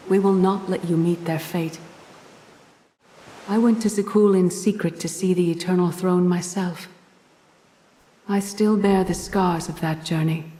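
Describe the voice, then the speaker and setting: A woman playing a solemn, wise Jedi master in a video game, speaking in a measured, instructive manner. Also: fairly low pitch, breathy edge. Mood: somber. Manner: measured, grave, calmly instructive. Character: solemn, wise female Jedi master, battle-scarred mentor. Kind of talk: dramatic video game voice acting.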